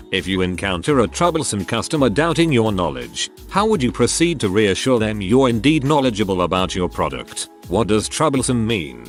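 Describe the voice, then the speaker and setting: Lively low-voiced male story narrator. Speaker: narrator reading online stories. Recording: faint music underneath.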